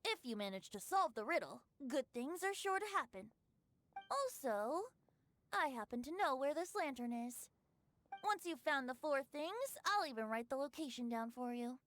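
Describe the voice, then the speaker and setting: A woman's voice: high-pitched, sing-song, moderate pace, fairly bright tone, no echo. A woman playing a carefree, teasing boyish bard in a video game, breezy and mock-dramatic. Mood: teasing, smug. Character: playful teasing carefree boyish male bard, fond of wine. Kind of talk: video game character acting.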